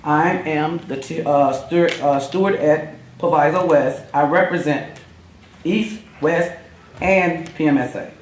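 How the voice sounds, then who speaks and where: A hoarse woman who is losing her voice, speaking plainly as a union steward at a school board meeting, halting now and then with fillers. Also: sits low, slight background noise. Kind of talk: public comment at a board meeting. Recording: echoey room.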